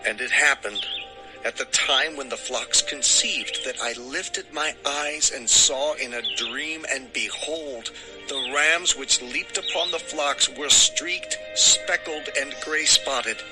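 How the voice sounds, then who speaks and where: An actor playing an earnest, wronged shepherd husband, declaiming in a measured way in a dramatized scripture story. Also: male voice, mid-range voice, over music, lightly acted, rough recording. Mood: reverent, solemn.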